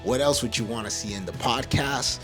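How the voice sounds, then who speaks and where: A man's voice: medium pitch, moderate pace, over music. A man from El Salvador hosting a podcast, speaking casually and motivationally in an earnest, emphatic way. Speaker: podcast host.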